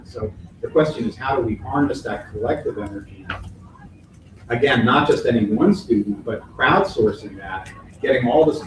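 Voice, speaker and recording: A man speaking as a conference keynote speaker, answering audience questions in a thoughtful way, restarting phrases and using folksy sayings. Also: medium pitch. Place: hotel conference hall. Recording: echoey hall from far off, faint noise.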